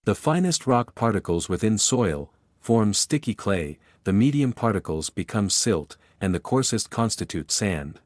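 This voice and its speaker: Male, fairly low voice, dry close sound, scripted read-aloud. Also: big pitch swings, measured pace, hardly any pauses, no echo. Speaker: reader of practice passages.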